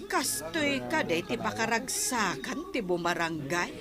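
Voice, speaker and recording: A woman's voice: on the high side, very animated, measured pace, gravelly, exaggerated voice. A woman playing a mildly disapproving villager gossiping in an audio drama. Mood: gossipy disapproval. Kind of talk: Ilocano radio-style audio drama acting. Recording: music bed behind the voice.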